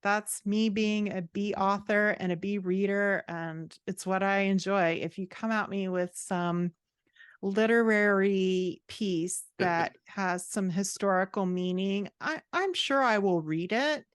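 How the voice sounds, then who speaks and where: A woman's voice: medium pitch, slow, smooth, no echo, clean studio sound. A woman guest talking casually and expressively in an interview, giving her opinions in informal language. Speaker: podcast guest, fantasy author and writing coach.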